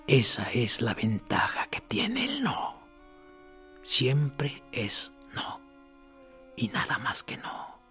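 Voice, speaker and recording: An actor in a radio drama playing a calm, unbreakable prisoner who speaks quietly and often in a whisper. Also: male voice, medium pitch, gravelly, full character voice.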